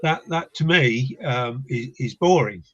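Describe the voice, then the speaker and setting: A man's voice: mid-range voice, lively intonation, a little slow, fairly bright tone. An older man, a paranormal investigator from England, talking as a guest in a casual chat, reflective and hesitant with many restarts. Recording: dull lo-fi line.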